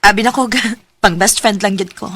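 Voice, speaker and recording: A woman's voice: mid-range voice, normal speed, a little hiss or hum, lightly acted. A young woman voicing a sincere, affectionate girlfriend in a radio drama. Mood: tender. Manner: warm, sincere, lightly laughing. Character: young woman in love, tender.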